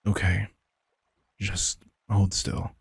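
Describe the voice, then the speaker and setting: A man plays a protective vampire king in an intimate audio drama. His voice is very deep and heavily husky, with an unhurried pace. He speaks in a hushed, caring way with a wry edge. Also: little pitch movement, no room sound, exaggerated voice. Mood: tense, concerned. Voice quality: husky, gravelly.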